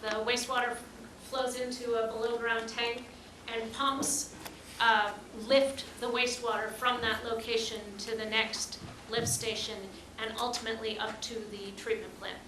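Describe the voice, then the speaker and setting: A woman's voice: a little high, level tone, normal speed. A woman working as a town official, explaining at a public meeting in a matter-of-fact way. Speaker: town wastewater manager. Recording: echoey noisy meeting room recording.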